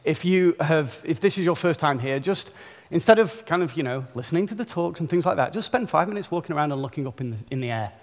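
A man with a softened northern English accent giving a conference talk in a chatty, informal way, often restarting his sentences. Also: a little high, lively intonation, fast, hardly any pauses. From England. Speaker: conference speaker. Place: large conference hall. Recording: echoey conference hall.

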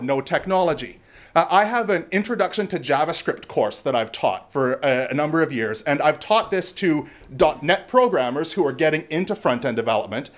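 A loud, outgoing man from Canada speaks from a stage in a bar venue, his voice pitched a little higher than most men's. He banters with the crowd, drops profanity and keeps an easy, joking energy through a talk on presenting. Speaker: conference speaker. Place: bar venue before live audience.

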